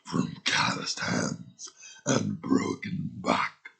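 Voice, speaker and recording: A man putting on a gravelly, growling old narrator voice, reading a whiskey ad script very slowly. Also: sharp tone. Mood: dark, strained. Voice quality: creaky, gravelly. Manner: very slow, laboured delivery.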